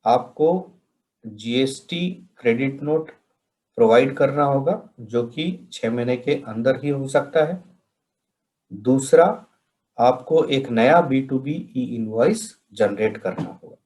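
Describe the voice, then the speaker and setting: A man from India presenting a question-and-answer tutorial in a deliberate way, pausing between phrases. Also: medium pitch, a little mellow, poor audio. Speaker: presenter of a software training session.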